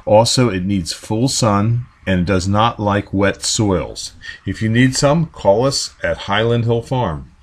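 Guy reading plant info off a script, steady and even. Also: fairly low pitch, slow pace. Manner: even, read-aloud delivery. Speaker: narrator. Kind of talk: scripted plant description voice-over.

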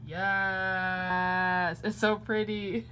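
A woman's voice: mid-range voice, flat delivery, very slow, heavy background noise. A young woman chatting through a casual travel vlog into a phone held at arm's length, bubbly and full of slang. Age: young adult. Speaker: vlogger. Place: car, hotel room and busy outdoor plaza.

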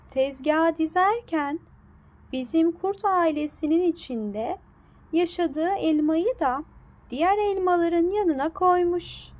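Very high-pitched, slow-speaking woman storyteller. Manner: deliberate, addressing young children. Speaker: children's storyteller. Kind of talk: scripted bedtime story narration.

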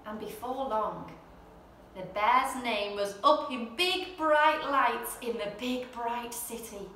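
A young woman presenting and reading a children's storybook in an expressive, gentle bedtime style. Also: high-pitched, a little hiss or hum. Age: young adult. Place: indoor room at home, seated in armchair. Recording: very echoey room.

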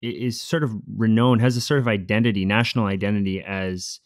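Relaxed man in his thirties, low voice. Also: brisk, no echo, studio quality. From Costa Rica. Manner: relaxed, loose, with frequent fillers and "you know". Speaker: podcast co-host, professor. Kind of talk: casual conversational podcast. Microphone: studio microphone with headphones.

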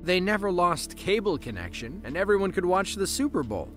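A man narrating a scripted documentary in a lively storytelling way with dry asides. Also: high voice, a little fast. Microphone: voice-over, studio mic. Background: soft background music.

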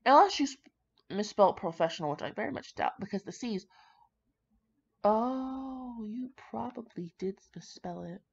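A woman's voice: fairly high pitch, expressive, moderate pace, gravelly, husky. A woman reading aloud in a casual way, with brash, sweary, rambling commentary of her own. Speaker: fan fiction reader. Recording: slightly dull lo-fi home recording.

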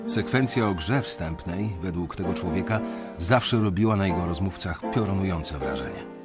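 An actor playing a weary young banker, narrating his story in a radio drama in a reflective, confiding way with a husky voice. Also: deep voice, normal speed, over loud music, lightly acted. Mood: reflective, resigned.

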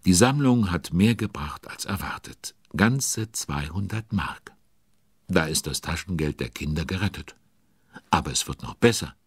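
A man narrating a children's audio drama as a genial storyteller, expressive and chatty with young listeners. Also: deep voice, measured pace, husky, no room sound, clean studio sound, heavily acted. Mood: excited.

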